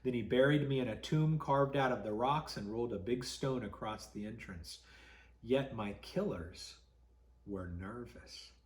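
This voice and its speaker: A man reading a story to children as a pastor would, dramatic and expressive, with deliberate pauses. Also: mid-range voice, echoey room.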